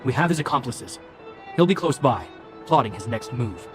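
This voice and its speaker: A man voicing a composed, authoritative military guard captain in a video game, measured and commanding. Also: mid-range voice, expressive, over music, lightly acted. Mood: stern, calculating.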